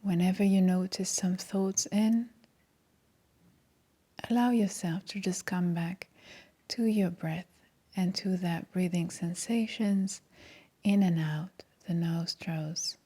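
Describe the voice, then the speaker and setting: A woman guides a meditation at an extremely unhurried pace. Her voice is soft and breathy, with a mellow, relaxed tone and a slightly low pitch. She gives each instruction calmly and gently. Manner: calm, gentle, soothing. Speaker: meditation guide, yoga teacher.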